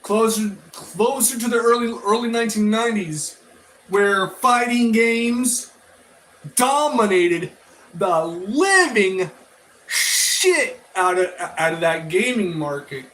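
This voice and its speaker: A man giving rambling, casual opinion commentary as a review vlogger, halting and often repeating and restarting his phrases. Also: high-pitched, animated, fairly bright tone, rough recording. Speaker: toy and media review vlogger.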